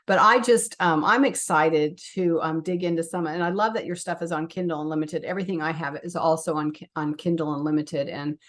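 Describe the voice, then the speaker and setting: A woman's voice: sits low, fairly even intonation, a little fast, hardly any pauses, fairly bright tone. A woman hosting a podcast as a novelist, talking casually and chattily in a conversational interview.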